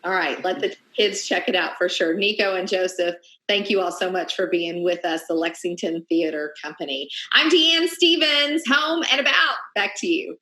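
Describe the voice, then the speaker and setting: A woman's voice: fairly low pitch, moderate pace, pushed and bright. A middle-aged woman hosting an upbeat local news interview over a video call. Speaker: TV lifestyle segment host. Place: indoors at home, small room. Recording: roomy home video call.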